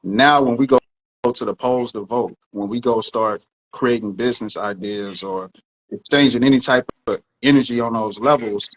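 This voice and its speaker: A man's voice: medium pitch, normal speed, lo-fi sound. A man co-hosting a podcast, explaining his views earnestly in casual talk and swearing now and then. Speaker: podcast co-host.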